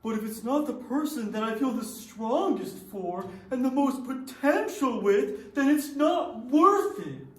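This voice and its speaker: A woman's voice: on the high side, sing-song, a little slow, hardly any pauses. A woman on stage acting an earnest, wounded, lovelorn suitor from a dating show in readers theatre. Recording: echoey stage room.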